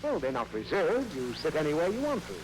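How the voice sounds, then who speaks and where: A man's voice: on the high side, big pitch swings, a little fast. An actor playing a long-suffering, pompous father in an old radio comedy, exasperated and lecturing. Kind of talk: 1940s radio comedy acting. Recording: crackly old radio recording.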